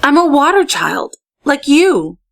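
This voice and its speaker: A woman's voice: high-pitched, big pitch swings, slow pace, vocal fry, dry recording, clean studio sound, voice pushed up, exaggerated voice. Lady reading as a nervous little water-child girl from a storybook, sensitive, earnest, puts weight on her words. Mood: earnest, defensive. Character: anxious, sensitive young water-child girl, self-reproachful.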